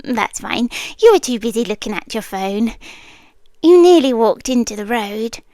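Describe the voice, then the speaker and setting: A British woman voicing an ancient, obsessively devoted female guardian monster in a hushed, intimate ASMR roleplay, often slipping into whispers. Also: on the high side, expressive, normal speed, no room sound, studio quality, natural voice. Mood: tender, obsessive. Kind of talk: yandere girlfriend ASMR roleplay. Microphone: close microphone, dry.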